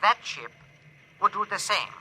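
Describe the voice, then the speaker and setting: A man's voice: high-pitched, expressive, a little slow, bright, forceful tone, slightly rough, heavily acted. An actor in a 1950s radio drama voicing an earnest, cautious male alien with stilted, formal phrasing through a radio-transmission effect. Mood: earnest, sorrowful.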